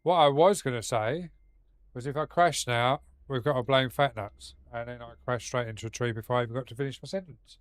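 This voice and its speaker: A man's voice: medium pitch, fairly quick, clean tone, dry recording. A man streaming a game from his home setup, talking casually with a blocked nose and a rambling, sweary manner. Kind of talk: casual live-stream chat while gaming.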